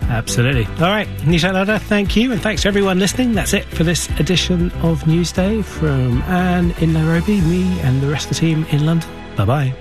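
A man with a high-pitched voice hosts a live radio news show. He chats with a colleague and addresses listeners, with music clearly playing behind him. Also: fairly quick, hardly any pauses. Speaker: radio news programme host. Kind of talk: live presenter chat and sign-off.

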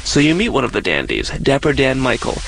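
A man's voice: mid-range voice, wildly swooping pitch, brisk, vocal fry, fairly bright tone, a little hiss or hum. A man working as a reporter, narrating a scripted feature and putting questions to his guests. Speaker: radio reporter.

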